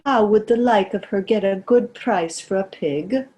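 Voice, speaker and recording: A woman's voice: mid-range voice, unhurried, darkish tone, natural voice, low-quality recording. A woman playing a fretful, grieving old peasant mother in a stage play, plaintive. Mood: pleading, anxious. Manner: lamenting, plaintive. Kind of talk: stage drama read as virtual play.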